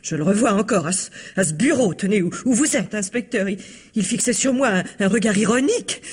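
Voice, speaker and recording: A flustered society wife in an old-style radio mystery. Her voice is husky and mid-pitched. She hesitates, stammers and dodges as if cornered by the police. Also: lively intonation, fairly quick. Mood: indignant, desperate. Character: flustered married society woman, blackmail victim under police questioning. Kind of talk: vintage radio mystery acting.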